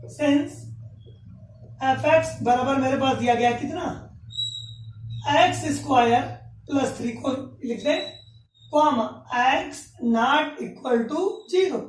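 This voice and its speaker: High-voiced man teaching math, echoey. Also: a little hiss or hum. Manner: asks and answers his own questions, dictates slowly. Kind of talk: classroom math lecture. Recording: roomy echo from a distance.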